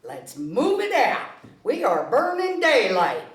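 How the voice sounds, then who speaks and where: A woman reads a bossy, foul-mouthed leader of a fishing trip in a comedy script. The voice is high and takes its time, with big swings in emphasis as orders are handed out. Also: huge pitch swings, slow pace, heavily acted. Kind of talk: screenplay table read. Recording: roomy group read, echoing.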